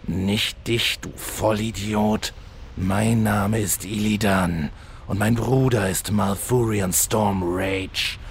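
A man's voice: fairly low pitch, fairly even intonation, slow pace, a little hiss or hum. An actor playing a menacing, vengeful male demon hunter in a parody fantasy audio drama, solemn and disdainful. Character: menacing male demon hunter seeking revenge on his brother.

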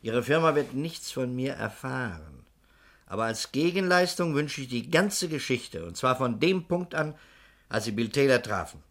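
A shrewd investigator in a sixties radio thriller. He has a medium-pitched voice and stays composed. His questioning is methodical, courteous and insistent. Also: sing-song, normal speed, fairly bright tone. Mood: firm, reassuring. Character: shrewd, composed male insurance investigator pressing for answers. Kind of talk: 1960s German radio crime drama acting.

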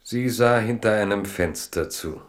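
Male narrator, very deep voice, measured literary storytelling.

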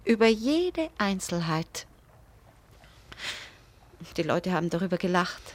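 An actress in a German radio play, playing a frank, affectionate young wife who speaks earnestly and confidingly. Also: female voice, fairly low pitch, very animated, slow pace, heavily acted. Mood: earnest, sorrowful.

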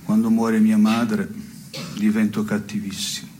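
An actor playing a provocative, ironic man in an absurdist radio play, musing in a wry, deadpan manner. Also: lowish voice, measured pace, slight background noise, natural voice. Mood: wry, provoking. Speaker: stage actor. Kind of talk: theatrical dialogue in a radio play.

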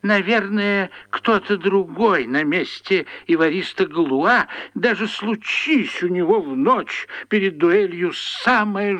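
Gloomy TV storyteller, radio drama: high-pitched, slow, solemn, mysterious, very dry sound. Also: male voice, a bit bright, no room sound. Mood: mournful, grave. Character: gloomy bearded television storyteller, mournful and mysterious. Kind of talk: children's radio play acting.

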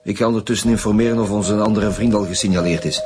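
An actor playing a blunt, impatient police detective in a Flemish radio drama, pressing hard as an interrogator. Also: male voice, on the low side, fairly even intonation, fast talker, noisy background, lightly acted. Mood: stern, pressing. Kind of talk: naturalistic radio drama acting.

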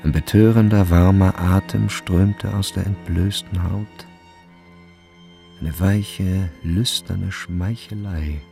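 Low, creaky male literary narrator. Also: steady tone, slow pace, mellow, soft background music. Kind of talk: literary narration in a radio drama.

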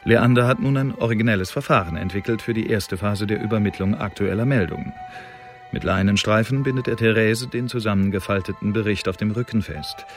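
Man playing a low-voiced, dry secret agent. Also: fairly quick, faint music behind, lightly acted. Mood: dry, official. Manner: formal, matter-of-fact. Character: dry, bureaucratic male Elizabethan secret-service agent dictating reports. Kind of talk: scripted report narration in radio drama.

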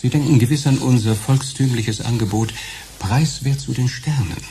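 An actor playing an unctuous elderly undertaker in a radio drama, formal and pompous, with hushed professional sympathy. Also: male voice, medium pitch, moderate pace, noisy background, natural voice. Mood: smoothly solicitous. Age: older. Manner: oily, formal, pompous salesman.

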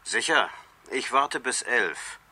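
An actor playing a self-assured hired killer in a radio crime drama, talking in a cold, businesslike way. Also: male voice, mid-range voice, lively intonation, slow pace, bright, forceful tone, slightly rough, exaggerated voice. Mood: cool, businesslike. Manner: businesslike, matter-of-fact. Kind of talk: 1970s German radio crime drama acting.

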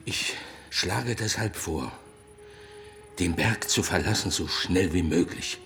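A desperate villager with a very low, gravelly voice argues in an urgent, impassioned way. A faint hiss of noise lies behind him. This is a radio play. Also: male voice, slow, fairly bright tone, full character voice. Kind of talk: German radio play acting.

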